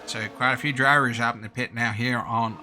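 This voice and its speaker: A man's voice: mid-range voice, fairly even intonation, a little fast, bright, forceful tone, a little hiss or hum. A man doing live sim-racing commentary in a put-on American accent, informal and slangy, with casual asides. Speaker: race commentator and streamer.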